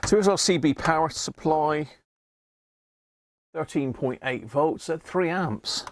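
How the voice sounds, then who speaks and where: A man with an English accent and a gravelly voice, hosting a hobby electronics live stream in a chatty, rambling way, thinking aloud as he works. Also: fairly high pitch, takes it slowly, no echo. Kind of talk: casual live-stream chat while working at a bench.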